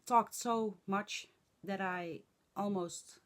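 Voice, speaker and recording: A middle-aged woman with a Dutch accent, speaking English as a second language, chatting in a hoarse voice to camera in a rambling, halting way with many fillers. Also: mid-range voice. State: hoarse from laughing and talking. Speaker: flosstube vlogger. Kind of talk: casual chat to camera.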